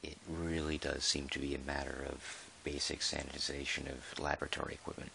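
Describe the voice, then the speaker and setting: A man playing a measured, dry park employee who dictates an official audio log in a halting, deliberate way, in ASMR roleplay style. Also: a bass voice, monotone, gravelly, sharp tone, slight background noise, heavily acted. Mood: matter-of-fact. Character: male dinosaur park employee, measured, dryly put-upon record keeper.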